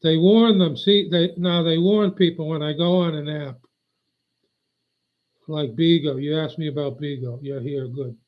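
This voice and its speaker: A man's voice: fairly high pitch, fairly even intonation, measured pace. An older man rambling on a live stream, meandering and aggrieved, often losing his train of thought. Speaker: livestreamer. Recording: dull lo-fi audio.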